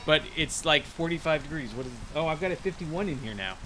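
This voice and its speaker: A man's voice: fairly high pitch, lively intonation, fairly quick, over music, poor audio. A man giving casual, rambling commentary while playing a video game, thinking aloud with many restarts. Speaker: gaming video creator. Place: cold room with a heater.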